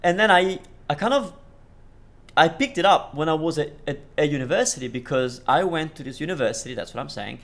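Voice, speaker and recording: A man's voice: a little high, animated, a little fast, fairly bright tone. A man from Italy teaching Italian, explaining phrases with restarts and fillers, switching between English and Italian. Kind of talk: language lesson commentary.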